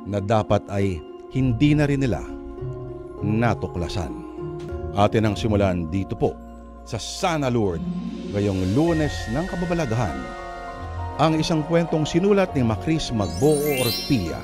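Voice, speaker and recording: A man with a Filipino accent hosting and narrating a radio drama in a solemn storytelling manner with deliberate pauses. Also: medium pitch, very animated. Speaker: host and narrator. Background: prominent background music.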